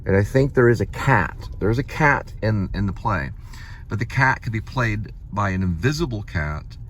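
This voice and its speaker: Male vlogger, lower register, casual and digressive, stop-start delivery, clear vehicle noise behind the voice. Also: animated, a bit bright. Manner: rambling, halting, digressive. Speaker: vlogger, amateur playwright, delivery driver. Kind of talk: casual rambling vlog monologue. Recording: noisy car interior, vehicle rumble.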